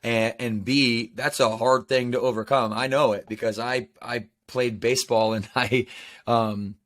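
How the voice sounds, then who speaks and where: A man's voice: mid-range voice, fairly even intonation, unhurried, a bit bright. Enthusiastic American man hosting a chat. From United States. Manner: enthusiastic, talkative, rambling questions. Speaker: podcast host. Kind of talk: casual interview.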